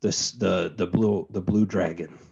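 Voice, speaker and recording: Husky, low-voiced man bantering. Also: steady tone, normal speed, darkish tone, muffled recording. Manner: slangy, sweary bantering. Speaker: podcast co-host. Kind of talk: casual chat.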